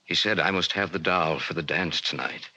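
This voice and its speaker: A man's voice: low-pitched, moderate pace. An actor playing a nervous young man in a 1940s-style radio drama. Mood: uneasy. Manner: bright, increasingly nervous. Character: Charles, a nervous young man sharing the apartment.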